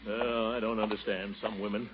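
A man's voice: lowish voice, flat delivery, fairly quick, loud music behind the voice, close to an everyday voice. Guy doing a pompous flustered bachelor, hemming and coaxing, old muffled radio sound. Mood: grumbling alone. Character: pompous blustering middle-aged bachelor, easily flustered. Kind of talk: 1940s radio acting. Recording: muffled vintage radio broadcast.

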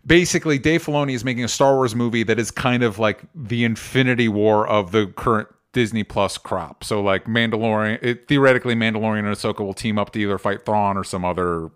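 A man's voice: fairly low pitch, fast, few pauses, clean tone. A man hosting a podcast, talking casually through movie news in an explanatory way, with qualifications and the odd swear word. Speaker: podcast host.

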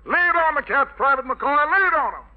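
A man with a strikingly high voice hosts an old 1940s American radio variety show. He is an American emcee who blends hip jive slang with mock-learned wordplay. The sound is a muffled, lo-fi vintage broadcast. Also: lively intonation, brisk. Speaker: radio show host, master of ceremonies. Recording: dull, lo-fi vintage radio recording.

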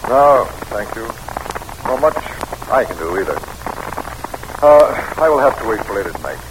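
An actor playing a weary, folksy middle-aged American president in a vintage radio drama, reflective and drily humorous. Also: male voice, very animated, normal speed. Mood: resigned. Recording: noisy old radio recording, rumbling background.

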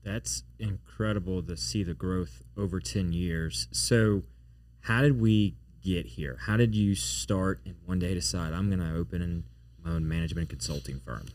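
A man hosting an interview podcast, asking questions in a relaxed conversational way. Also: deep voice, moderate pace, no room sound, studio quality. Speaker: podcast host.

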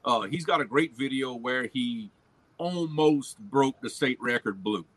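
A man's voice: mid-range voice, unhurried, a bit bright, slightly rough, no echo, low-quality recording. A man from Louisiana with a Cajun accent, chatting casually about fishing and often repeating his words as he starts a sentence. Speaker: guest angler on a fishing livestream. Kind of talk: casual chat.

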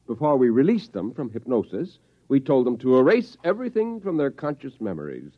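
Understated, animated professor husband. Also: male voice, medium pitch, a little fast. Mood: pleased, explaining. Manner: hesitant, understated, explanatory. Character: clever, modest husband, an academic hypnotist. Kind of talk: 1950s radio drama acting. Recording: dull old radio broadcast.

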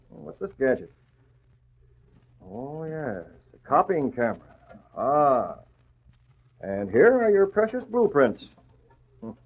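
A man's voice: medium pitch, breathy edge, heavily acted. Suave, mocking masked vigilante man. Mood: smug. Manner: sardonic, theatrical, polished. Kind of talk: 1940s radio acting. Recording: tinny, noisy old radio recording.

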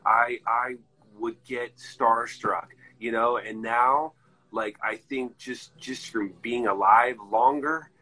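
Husky-voiced man telling rambling stories. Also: medium pitch, steady tone, slow, bright, forceful tone, rough recording. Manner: rambling, profanity-laced storytelling. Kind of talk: casual chat.

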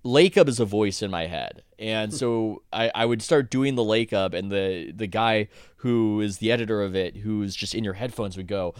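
A man's voice: on the low side, normal speed, smooth, no room sound, clean studio sound. A man, an author guest on a podcast, talking casually in a rambling way with many restarts and self-corrections.

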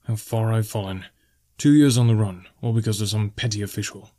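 A man's voice: fairly low pitch, brisk, dark tone, natural voice. A man voicing a weary, guarded mercenary in a fantasy audiobook, with a dry, brooding way of muttering. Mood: bitter. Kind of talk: fantasy audiobook character dialogue.

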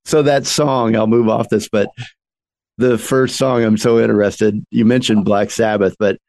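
Gravelly, dark-voiced male interviewer. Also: fairly low pitch, fairly even intonation, measured pace, no room sound. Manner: earnest, long rambling questions. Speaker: radio interviewer.

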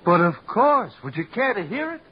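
Fussy, showy musician, a total coward, voice leaping way up and down, kinda husky, old dull radio sound. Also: male voice, high-pitched, big pitch swings, moderate pace, full character voice. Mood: theatrical. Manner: florid and affected, then frantic with terror on the phone. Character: theatrical, nervous male musician, a self-declared coward. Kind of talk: 1940s radio acting.

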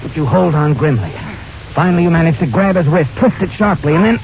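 An actor playing a knowing, taunting suspense narrator in an old radio drama, speaking deliberately in the second person. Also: male voice, fairly high pitch, lively intonation, heavy background noise. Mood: tense, insinuating. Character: knowing, insinuating male storyteller addressing the culprit. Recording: dull old radio recording with music.

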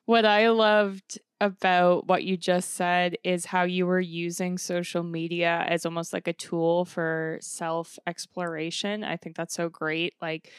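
Brief: woman co-host, lowish smooth voice, dry close mic, eager questioner. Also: dry recording. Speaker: podcast co-host. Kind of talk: casual interview chat.

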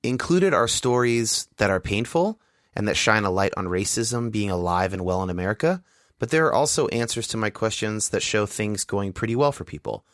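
Guy hosting a podcast, kinda low voice, earnest and measured, dry sound. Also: animated, a bit bright, dry recording. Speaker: podcast host. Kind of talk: podcast narration and interview.

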